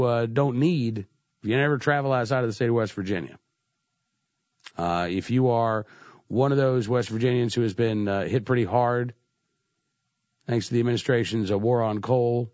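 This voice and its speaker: A man's voice: medium pitch, darkish tone, no room sound, lo-fi sound. Congested male talk radio host. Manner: conversational, frequent "uh" fillers. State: congested with a cold. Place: radio studio. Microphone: studio microphone.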